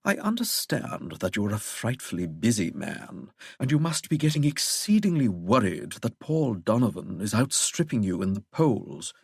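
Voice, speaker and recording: A man voicing a smug, unflappable English gentleman villain for an audiobook, with a posh, droning, mock-courteous manner. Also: lowish voice, big pitch swings, moderate pace, gravelly, few pauses, slightly rough, dry recording, clean studio sound, exaggerated voice. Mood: smooth, needling. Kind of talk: audiobook character voice.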